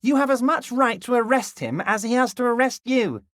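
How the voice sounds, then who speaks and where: A smooth, ingratiating middle-aged union boss in a video game, slyly patronizing and always drawing out his words. His voice sits fairly high for a man and roams up and down expressively. It is recorded dry and close, with no room sound. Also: expressive, moderate pace, a bit bright, no room sound. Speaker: dock union leader character.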